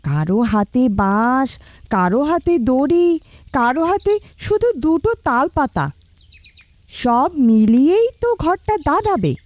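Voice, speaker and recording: A woman playing a kindly, practical village mother who gently encourages her child in an animated moral story. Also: high voice, measured pace, no room sound. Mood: caring, encouraging.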